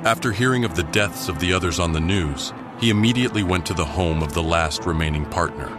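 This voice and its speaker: A man's voice: sits low, brisk, lightly acted. A man narrating a scripted crime mystery audio drama as a grave storyteller. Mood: grave, suspenseful. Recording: background music under the voice.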